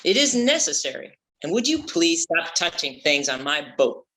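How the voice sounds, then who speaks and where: Someone acting a bright-voiced, gruff, sarcastic boat captain. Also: medium pitch, very animated, moderate pace. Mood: scornful. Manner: sarcastic, folksy, commanding. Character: gruff, stubborn shark-hunting boat captain, sarcastic and folksy. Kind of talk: amateur script table read.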